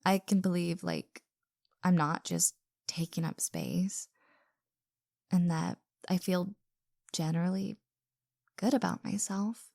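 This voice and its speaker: A woman acting self-doubting young woman, audio roleplay, slow hesitant delivery, stammering, soft breathy near-whisper, dry close sound. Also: on the low side, no echo, heavily acted. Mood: vulnerable, reflective. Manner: hesitant, stammering, apologetic, sighing.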